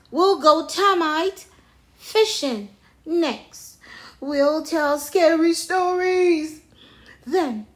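A woman voicing a young male ape in a children's storybook, excitable, whiny and grumpy, exclaiming as he talks. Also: a little high, heavily acted.

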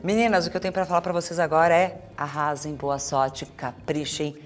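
A woman hosting a reality show, energetic and cheering on the contestants. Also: sits low, brisk. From Brazil. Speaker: show host. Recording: light music underneath.

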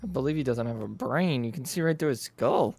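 A man's voice: medium pitch, expressive, fairly quick, creaky, heavy background noise. A man voicing a blunt, sarcastic schoolboy sidekick in comedy dialogue, casual and dry. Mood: mocking.

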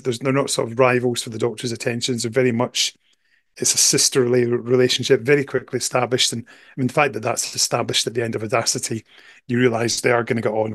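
A man's voice: medium pitch, no echo. A middle-aged man with a Scottish accent giving a casual review as a guest host on a video call. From Scotland. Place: small room indoors. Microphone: headset on a video call.

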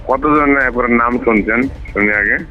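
A man's voice: medium pitch, normal speed. A man with a Bangladeshi accent talking casually in a recorded private phone conversation. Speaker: hospital ward master. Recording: recorded phone call with music. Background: music.

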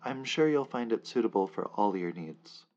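Casting: man voicing creepy courteous suited men, pushy like salesmen, lower voice, muffled recording. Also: moderate pace. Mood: salesy. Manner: calm, politely insistent. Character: eerie polite adult men in suits, salesman-like.

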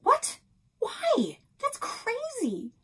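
A woman's voice: very high voice, wildly swooping pitch, extremely slow, fairly bright tone, voice pushed up, heavily acted. A woman voicing a bossy, easily outraged young girl from a children's novel, speaking emphatically. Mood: shocked. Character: opinionated young girl who loves fishing, easily outraged.

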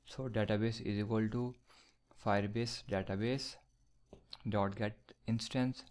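Man, coding tutor voice-over: gravelly, flat delivery, slow step-by-step dictation of code. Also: on the low side. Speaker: programming tutor.

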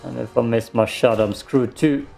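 A man's voice: mid-range voice, fairly even intonation, normal speed, gravelly, darkish tone, faint music behind. A man giving casual gameplay commentary, muttering to himself and given to swearing. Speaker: let's play streamer.

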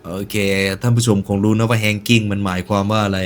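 A man's voice: lowish voice, steady tone, a little fast, soft background music. A man hosting a reaction video, commenting casually in slangy speech with joking asides and stretches of narration. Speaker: YouTube reaction host.

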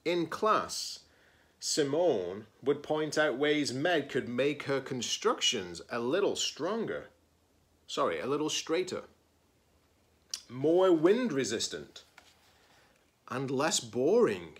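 A man's voice: mid-range voice, a bit bright. A man in his forties, a teacher-librarian, reading a children's picture book aloud expressively, stressing key words and adding casual asides.

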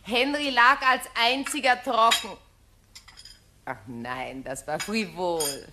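An actress playing a brisk, bossy new widow with a dry, irreverent manner in a 1950s radio drama. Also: female voice, a little high. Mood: flippant, dry. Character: newly widowed shop owner, brisk, cool, irreverent lady. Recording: faintly noisy 1958 radio recording.

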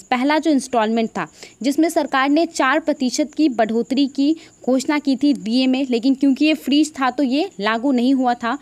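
A woman's voice: sits high, darkish tone, no echo. A woman with an Indian accent presenting an explanatory news voice-over, taking the listener through it step by step. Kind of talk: explanatory news narration in Hindi with English terms.

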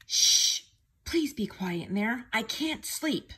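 A woman's voice: on the high side, animated, slow, bright, forceful tone, breathy edge, voice pushed up, heavily acted. A woman voicing an exasperated, sleepy young child in a storybook, shushing and scolding insistently. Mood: weary scolding. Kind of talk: storybook character acting.

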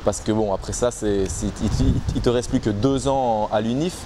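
A man's voice: fairly low pitch, moderate pace, noisy background. A young man, talking casually to the camera about his time as a basketball player, with many restarts and fillers. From Belgium. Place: outdoors in front of trees.

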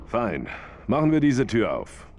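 A man's voice: mid-range voice, huge pitch swings, measured pace, a little mellow, full character voice. A man playing an authoritative military captain in a video game, curt, dry and commanding. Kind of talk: dubbed video game cutscene acting.

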